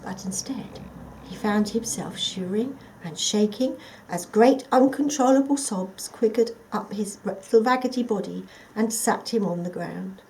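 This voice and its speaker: A woman reading a children's story expressively and dramatically, often dropping to a hush. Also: medium pitch, a little slow, slight background noise, poor audio. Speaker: volunteer storyteller.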